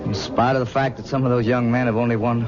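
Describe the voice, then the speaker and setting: An actor playing a brooding young lawyer who speaks in a dry, quietly bitter way in a radio drama. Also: male voice, mid-range voice, little pitch movement, fast talker, close to an everyday voice. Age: young adult. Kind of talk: 1970s radio drama acting. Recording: dull 1970s radio recording with music.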